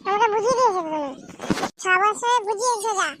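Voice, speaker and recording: A performer voicing a defiant, nagging wife in a comic cartoon, snappy and shrill. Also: fast talker. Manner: snappy, shrill back-talk. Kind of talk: amateur comic cartoon dubbing. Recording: clearly noisy background.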